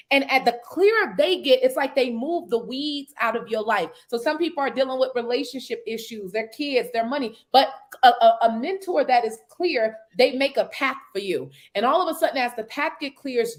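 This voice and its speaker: A woman in her thirties talks like a preacher about success and mindset, with a slightly high voice and firm emphasis. She often drops in "come on" and "mm" to back up what is said. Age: 30s. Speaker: network marketing mentor. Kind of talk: motivational casual chat.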